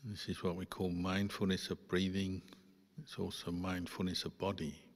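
A man, a Buddhist monk, guiding a meditation in calm, measured phrases with pauses. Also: low voice. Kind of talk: guided meditation instruction. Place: large reverberant hall. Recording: long hall reverb.

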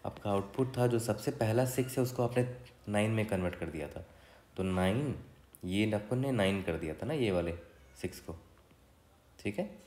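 A man's voice: on the low side, expressive, normal speed, long pauses, a little mellow. A man with an Indian accent explaining as a coding tutor in a casual, conversational way, often adding little check-in tags. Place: indoor room, voice-over for screen recording. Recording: roomy, echoey voice recording.

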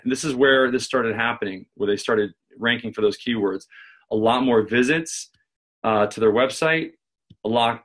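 Male, American, forties, medium pitch. Informal explainer at a desk, conversational, with repeated restarts. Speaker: presenter, local marketing consultant. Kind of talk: informal screen-share tutorial, explaining. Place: small home office indoors.

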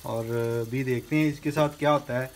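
A man's voice: medium pitch, steady tone, fairly quick. A man in his thirties filming a casual home vlog on his phone, narrating cheerfully to viewers and exclaiming often. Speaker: vlogger. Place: home kitchen and rooftop, indoors and out. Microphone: phone camera held in hand. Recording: noisy phone recording.

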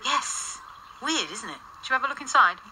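An actress playing a cool, wry, authoritative English paranormal investigator, brisk and dry, in a radio drama. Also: female voice, a little high, sing-song, bright, forceful tone, heavily acted, poor audio. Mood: dry, assured. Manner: brisk, dry, self-assured. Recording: clear background noise and ambience.

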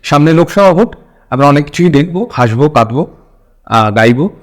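A male interviewer with a Bengali accent and a somewhat high voice. He speaks conversationally, as a host rounding off a discussion. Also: expressive, brisk.

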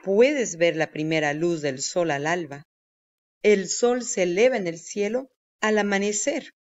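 Woman doing a read-aloud for little kids, unhurried and deliberate, bit lo-fi. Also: on the low side, a little mellow. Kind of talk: children's nonfiction book read-aloud. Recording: dull, lo-fi sound.

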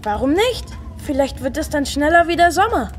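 Bold, curious little boy, fairy tale radio play, high-pitched and animated. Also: normal speed. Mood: cocky. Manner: eager, boyish.

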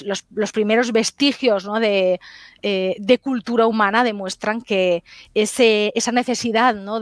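A woman in her forties from Galicia, a native Galician speaker, talks over a headset mic on a video call from a book-lined study. She speaks thoughtfully, with frequent hesitations and tag questions. The sound is close and dry, at a middle pitch. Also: no echo. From Galicia, Spain. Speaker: historian and author guest. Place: home study lined with bookshelves.

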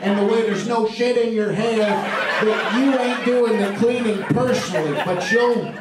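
A man's voice: high-pitched, a little slow, heavy background noise. A man in his thirties from Canada doing stand-up crowd work, brash, profane and teasing, on a comedy club stage with a handheld mic. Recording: echoey club, heard from far off. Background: laughing audience.

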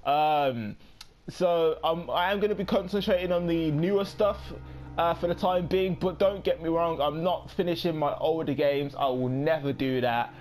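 A young man in his late teens giving casual let's play commentary, rambling with many pauses and quick apologies. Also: on the high side, darkish tone. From United Kingdom. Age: young adult. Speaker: gaming YouTuber.

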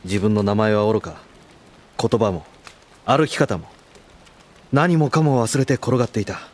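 A man's voice: on the low side, animated, measured pace, a little hiss or hum. An actor playing an easygoing, loyal young man with casual, frank speech in an anime audio drama. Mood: grave storytelling.

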